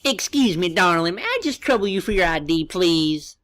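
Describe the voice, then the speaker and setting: A woman's voice: on the low side, expressive, normal speed, a bit bright. A woman voicing a polite, fussy, effeminate male pony bartender in an audio story. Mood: politely firm.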